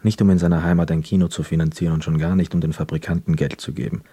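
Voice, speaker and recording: An actor playing a pensive, melancholy hotel guest who narrates a literary radio drama in a measured, reflective manner. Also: male voice, a bass voice, flat delivery, warm tone, breathy edge.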